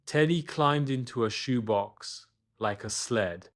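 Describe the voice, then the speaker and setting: A man narrating a scripted children's bedtime story, expressive and deliberate, with dramatic pauses. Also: medium pitch.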